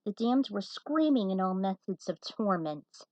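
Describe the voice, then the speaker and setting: A woman narrates a story as an amateur host, with an informal delivery and casual asides. Her pitch is middling for a woman and the recording is dry, with very little room sound. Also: lively intonation, a little slow, a little mellow, no room sound, rough recording. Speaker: host and reader of a fan fiction series.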